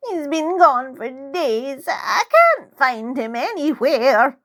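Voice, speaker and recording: A woman voicing a strange, impatient old lady with a thin, high, trembling voice in a storybook reading. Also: sing-song, fairly bright tone, heavily acted. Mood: forlorn. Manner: barking, slow, drawn-out questions.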